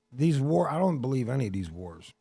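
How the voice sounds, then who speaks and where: A man's voice: medium pitch, vocal fry, warm tone, slightly rough, no room sound, studio quality. A man speaking as a podcast guest in slangy, profane casual chat, rambling with frequent restarts.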